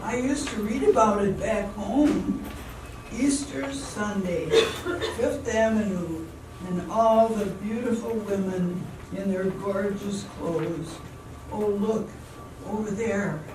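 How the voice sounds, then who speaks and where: A woman's voice: medium pitch, slow pace, close to an everyday voice. A woman playing a naive, eager but self-doubting young small-town dancer in a theatrical amateur radio drama, hesitant and wide-eyed. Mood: wistful, admiring. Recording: very echoey hall, distant mic, background noise.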